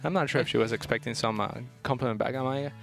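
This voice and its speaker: A man's voice: medium pitch, fast, creaky, faint music behind. A man in his thirties giving casual esports commentary, often repeating words as he goes. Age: 30s. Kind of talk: casual chat, esports analysis.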